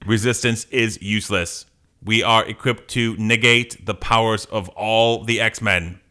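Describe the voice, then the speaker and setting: A man voicing a giant emotionless killer robot from a comic, with slow, stiff mechanical delivery. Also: fairly low pitch, fairly even intonation, bright, forceful tone, smooth. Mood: cold menace.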